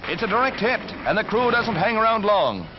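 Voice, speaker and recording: High, animated 1940s American newsreel announcer, male. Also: normal speed, heavy background noise. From United States. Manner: dramatic, emphatic announcer delivery. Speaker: newsreel narrator. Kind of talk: 1940s newsreel narration, scripted. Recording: dull 1940s newsreel soundtrack. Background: orchestral music.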